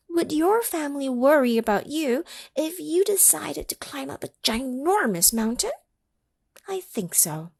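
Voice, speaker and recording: A woman reading a picture book aloud to children, pausing to ask them questions and sometimes dropping to a whisper. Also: on the high side, breathy edge, no echo, studio quality. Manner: animated, asks the listening children questions; drops into whispers for effect. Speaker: children's story reader. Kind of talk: read-aloud storytime for children.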